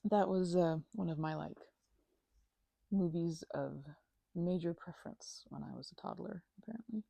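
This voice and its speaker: A woman's voice: on the low side, normal speed, creaky, warm tone, breathy edge, dry recording. A woman doing a casual ASMR roleplay as a chatty, scatterbrained best friend, rambling playfully from one tangent to the next.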